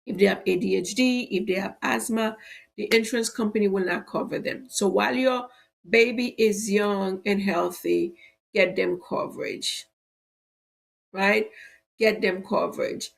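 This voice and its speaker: A middle-aged woman who sells insurance, hosting a live show and sounding stuffy and a bit cracky from allergies, making a persuasive pitch that leans on "right?" as a tag. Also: fairly even intonation, unhurried, vocal fry. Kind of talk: live-stream sales talk.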